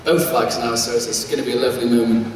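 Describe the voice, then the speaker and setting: A young man with a Welsh accent, a singer answering press conference questions in a quick, upbeat and earnest way. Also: mid-range voice, flat delivery, slight background noise. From Wales. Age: young adult. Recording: echoey hall, far from the mic.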